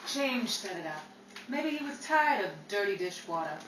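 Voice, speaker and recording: A woman's voice: medium pitch, very animated, normal speed, a bit bright. A woman acting a tough, ambitious young Chicago showgirl, defiant and dramatic, in the manner of 1930s radio drama. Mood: scornful. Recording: roomy, distant mic with faint noise.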